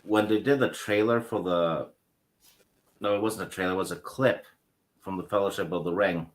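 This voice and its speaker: Very low-voiced man chatting hesitantly. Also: fairly even intonation. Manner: hesitant, with ums and pauses. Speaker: guest. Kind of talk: casual chat.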